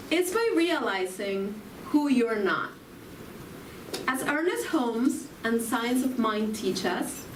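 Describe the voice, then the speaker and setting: A woman from Argentina with an Argentine Spanish accent delivers a spiritual talk at a slow, deliberate pace, often turning questions back to her listeners. Her voice sits a little high. She is picked up from some distance in a roomy hall, with faint ambience behind her. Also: a little hiss or hum. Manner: slow, reflective, asks the audience questions. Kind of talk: inspirational sermon.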